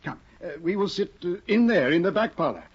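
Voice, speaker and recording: An actor playing a fussy, earnest scholarly husband in a 1950 radio drama, flustered and hesitant. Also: male voice, sits high, lively intonation, brisk, slightly rough. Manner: flustered, hesitant, with many uh's. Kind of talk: 1950s radio drama acting. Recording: dull lo-fi 1950 radio broadcast.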